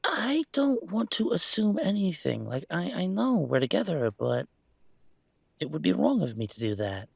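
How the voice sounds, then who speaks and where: A man playing a young werewolf boyfriend in an intimate audio roleplay, talking in a halting, rambling, conversational way with a gravelly creak. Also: high voice, big pitch swings, dry recording, full character voice. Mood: flustered, tender. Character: young male werewolf boyfriend, hesitant, affectionate, weighing a move.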